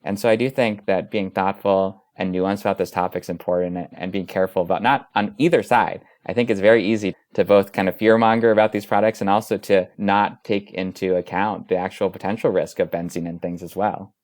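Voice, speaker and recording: Low-voiced man explaining as an expert guest. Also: steady tone, a little mellow. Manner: measured, explanatory, long winding sentences. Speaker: dermatologist and researcher, interview guest. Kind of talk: conversational expert interview.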